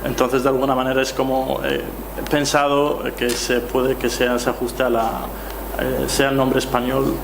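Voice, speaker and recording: A young man from Málaga, born in Amman, answering academic questions off the cuff, haltingly, repeating words as he thinks. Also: mid-range voice, little pitch movement. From born in Amman, raised in Málaga. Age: young adult. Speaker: doctoral student presenting research. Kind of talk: unscripted answers at a colloquium. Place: echoey room at a colloquium. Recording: echoey room with clear background noise.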